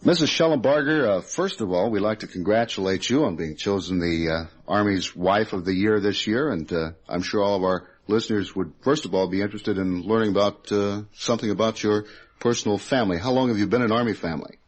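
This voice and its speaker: A man with an American accent conducting a semi-formal radio interview as a reporter, asking questions in a halting way. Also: on the low side.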